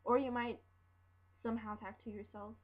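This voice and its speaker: A woman's voice: a little high, little pitch movement. Teenage girl rambling into a laptop mic. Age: teens. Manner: rambling, halting, with many fillers and restarts. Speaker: vlogger. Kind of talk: casual rant to camera. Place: room at home. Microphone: built-in laptop mic. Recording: dull lo-fi laptop audio.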